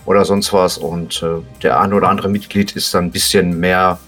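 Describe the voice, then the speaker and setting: A man's voice: low voice, little pitch movement, moderate pace, a bit bright, faint music behind. A man talking casually as an interview guest, explaining things in a colloquial, plain-spoken way. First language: German. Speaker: podcast guest, hobby musician.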